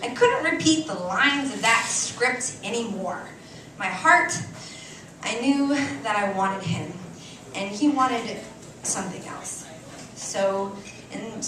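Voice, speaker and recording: A woman performing a short story at a live reading in a cafe, with an expressive, deliberate, theatrical delivery and dramatic pauses. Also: a little high. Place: indoor cafe stage before an audience. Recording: echoey live venue, distant mic, room noise.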